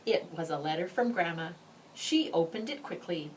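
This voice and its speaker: A woman reads a children's story aloud with deliberate, emphatic phrasing. Her melody is very lively and varied. The mic is slightly distant, with faint noise behind her. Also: on the low side. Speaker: storybook reader. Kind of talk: expressive children's read-aloud narration.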